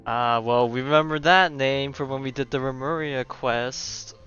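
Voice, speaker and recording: A man's voice: mid-range voice, fairly even intonation, moderate pace, fairly bright tone, clear, resonant tone. A man casually commenting while playing a video game, laid-back and chatty. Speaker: gaming video host. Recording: dull lo-fi voice over game music.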